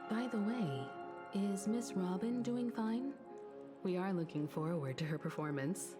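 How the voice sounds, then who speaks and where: A woman voicing a shrewd, measured investigator in a game. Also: mid-range voice, music in the background, lightly acted. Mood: calm. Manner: measured and analytical.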